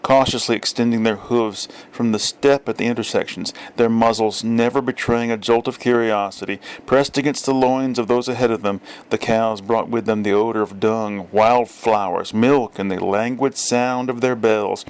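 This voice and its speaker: A man reading a literary story aloud as narrator, with measured, deliberate phrasing. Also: medium pitch, fairly even intonation, smooth, poor audio. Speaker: audiobook reader.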